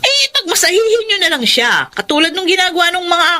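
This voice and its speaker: Meddlesome doubting Filipina, high, very animated, nagging delivery, radio melodrama. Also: female voice, fairly quick. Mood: incredulous, scoffing. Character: blunt, doubting, meddlesome woman, scoffing. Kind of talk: Filipino radio drama acting.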